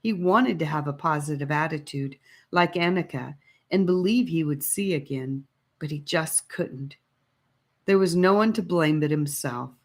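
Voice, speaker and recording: A woman narrating a scripted story in a measured, expressive storytelling manner. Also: low-pitched. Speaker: audiobook reader.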